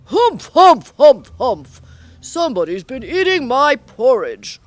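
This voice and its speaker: A woman's voice: big pitch swings, slow pace, no room sound, faint music behind, full character voice. Woman doing a grumbling Papa Bear. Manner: grunting humphs, loud and booming. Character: gruff grumbling papa bear, big and hungry. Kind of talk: storybook reading.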